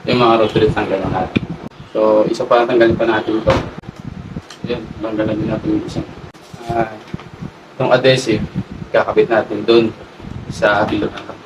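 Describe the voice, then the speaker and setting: A man with a Filipino accent giving a casual hands-on demo, haltingly thinking aloud as he works. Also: fairly low pitch, flat delivery. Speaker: motovlog host. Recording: distant mic with clear background noise.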